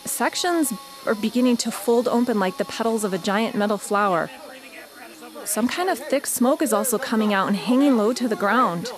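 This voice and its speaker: A woman playing a frightened field reporter in a radio drama, phoning in a halting, breathless eyewitness account. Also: fairly high pitch, close to an everyday voice. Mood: awed, uneasy. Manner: halting, breathless, repeating herself. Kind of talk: modern radio drama acting.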